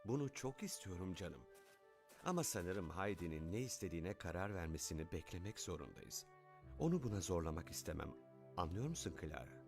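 A man's voice: low voice, big pitch swings, a little fast, vocal fry, music in the background, full character voice. A man voicing a kind, principled, dignified wealthy father in a dubbed children's cartoon. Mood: tender.

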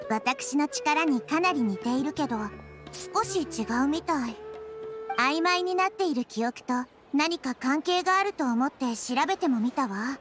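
A calm, wise young girl goddess in a video game. Her voice is high and soft, and she speaks in a composed, polite way. Game music plays clearly behind her.

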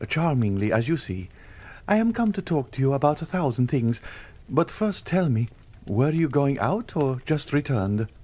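A man voicing a giddy, boastful young gentleman who gushes eagerly about his good fortune. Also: mid-range voice, sing-song, normal speed, voice pushed up. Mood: gushing. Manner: eager, gushing, self-satisfied. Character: giddy, boastful young social climber, male.

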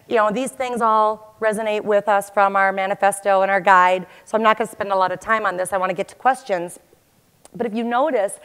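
A woman in her forties, an agile coach, giving an animated conference talk in a large hall on a headset mic. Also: on the high side, clear, resonant tone. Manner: animated, gestures while talking to the audience. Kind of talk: conference presentation.

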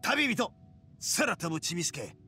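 A man voicing a brash, good-natured young male oni in an anime game, with a rough, exaggerated manner. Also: sits high, big pitch swings, bright, forceful tone, heavily acted. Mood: boisterous. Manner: rough, exaggerated, drawn-out exclamations. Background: music.

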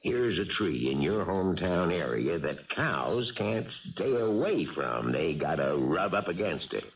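Deep, gravelly, folksy baseball manager. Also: male voice, very animated, unhurried, full character voice. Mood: coaxing. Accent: American. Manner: folksy, persuasive. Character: folksy, crafty, coaxing veteran baseball manager. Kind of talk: 1970s radio drama acting. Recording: dull, muffled old radio recording.

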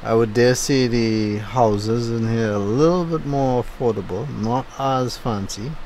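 A man with a Trinidadian accent giving a low-key, unenthusiastic running commentary while driving. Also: measured pace, darkish tone, slight background noise. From Trinidad and Tobago. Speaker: driving vlogger. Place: inside a moving car.

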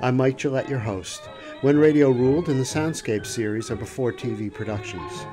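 A man's voice: medium pitch, normal speed. A man reading a scripted narration as a podcast host. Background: music.

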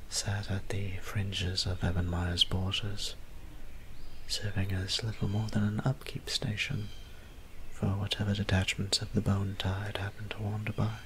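A man's voice: fairly low pitch, little pitch movement, slight background noise, lightly acted. Man playing a whispering, weary vampire priest. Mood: musing, wistful. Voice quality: soft, breathy whisper. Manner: formal, polite, hesitant, halting phrasing. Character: gentle, shy, weary young male vampire priest. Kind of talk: soft-spoken ASMR roleplay.

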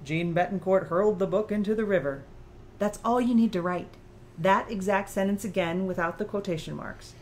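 Woman as incisive female author, mid-pitched, pointed, articulate, audiobook dialogue, light background noise. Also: moderate pace, darkish tone, natural voice. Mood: pressing, insistent. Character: sharp, probing female author challenging her own creator.

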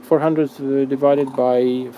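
A man asking casual questions as a visiting interviewer, halting and thinking aloud. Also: medium pitch, level tone, mellow. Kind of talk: casual questions and brief reactions. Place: small indoor workshop. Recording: noisy workshop room tone.

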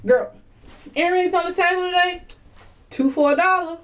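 A woman's voice: very high voice, moderate pace. A woman, chatting animatedly to viewers in a casual haul video from a small bedroom, recorded on a webcam. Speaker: vlogger.

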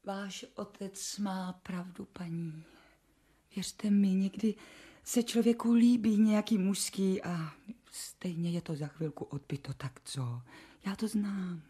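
A woman's voice: on the low side, mellow, husky. An actress playing a devoted, chatty lady's maid in a radio drama, coaxing and deferential, often speaking in a whisper.

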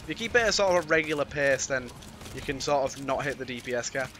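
A British man with a cold and a slightly raspy voice, giving casual, playful, mildly sweary let's-play commentary. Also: mid-range voice, lively intonation, fast, noisy background. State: has a cold. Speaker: gaming YouTuber. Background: game music.

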